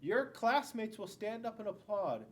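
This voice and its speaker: A man's voice: high-pitched, big pitch swings, unhurried, very noisy. A middle-aged man, a school police officer, reading a picture book aloud to young children, stressing key words and adding asides. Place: indoors in a school classroom.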